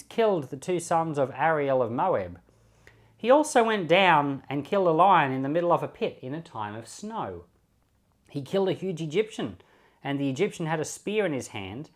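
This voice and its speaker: Man with lively, rising and falling delivery. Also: fairly high pitch. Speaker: pastor reading and commenting on Bible. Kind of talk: scripture reading and informal Bible commentary.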